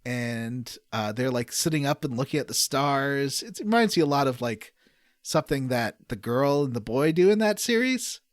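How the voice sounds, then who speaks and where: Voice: mid-range voice, sing-song, moderate pace, dry recording, studio quality. A podcast host giving a casual, jokey recap of a story, slipping into put-on voices for quotes. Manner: puts on voices for quoted lines, laughs through readings. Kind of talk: casual, jokey recap of a video game story, reading out dialogue.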